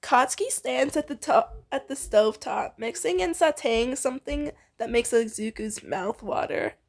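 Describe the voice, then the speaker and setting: A young woman with a high voice reads fan fiction aloud. She keeps it casual and giggly, with a teasing edge, like a relaxed read between friends. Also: moderate pace, vocal fry.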